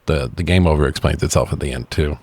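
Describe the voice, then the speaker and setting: A man's voice: extremely low pitch, quick pace, a little mellow, no echo. A man co-hosting a casual film review chat, talking informally and swearing freely. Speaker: podcast co-host reviewing films.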